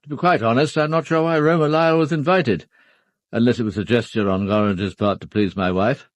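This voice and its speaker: An actor playing a reserved titled British husband, measured and firm, in a radio drama. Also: male voice, medium pitch, no echo, close to an everyday voice. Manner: measured, matter-of-fact, firm. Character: reserved upper-class husband, a titled gentleman. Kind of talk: full-cast radio drama acting.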